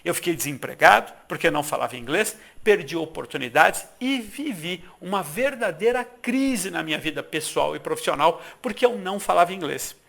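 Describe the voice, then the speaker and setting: A middle-aged Brazilian man narrating a persuasive sales pitch for his course, emphatic and full of rhetorical questions. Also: expressive, moderate pace, gravelly, hardly any pauses, a bit bright. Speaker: course founder pitching an English course.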